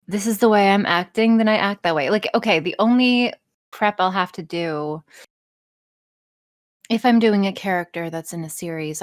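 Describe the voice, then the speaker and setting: A woman's voice: on the low side, no room sound, studio quality. A woman chatting casually as an interview guest, blunt, sweary and self-deprecating in the way she talks. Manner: sweary, blunt, self-deprecating, animated storytelling with acted-out asides. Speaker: podcast guest, audio voice performer.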